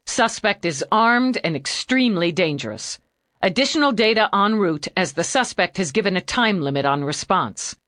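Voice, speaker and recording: A woman's voice: mid-range voice, lively intonation, moderate pace, a bit bright, no echo, clean studio sound, lightly acted. A woman voicing a tough female homicide lieutenant who gives blunt, curt orders. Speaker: police lieutenant.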